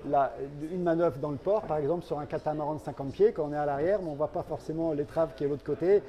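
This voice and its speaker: A man speaking as a company representative in an interview, explaining products in a halting way and repeating words. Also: a little high, warm tone, slight background noise.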